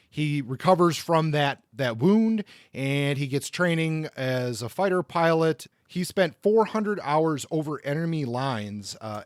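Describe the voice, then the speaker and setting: A man's voice: medium pitch, no echo. Conversational man with a dry, close sound. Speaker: podcast host. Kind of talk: informal biographical narration.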